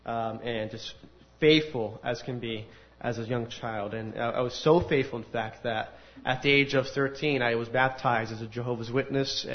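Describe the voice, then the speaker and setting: A man of ordinary pitch gives a personal testimony to an audience in a large room. He tells his story earnestly and with animated energy. The recording has a dull, lo-fi sound. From United States. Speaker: speaker giving a testimony. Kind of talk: personal testimony at a faith gathering.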